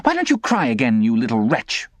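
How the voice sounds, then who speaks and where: Man playing a high-pitched, taunting young girl. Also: sing-song, slow pace, a little mellow, no room sound. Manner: imperious, goading, clipped questions. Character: taunting, haughty young girl who sneers at a boy.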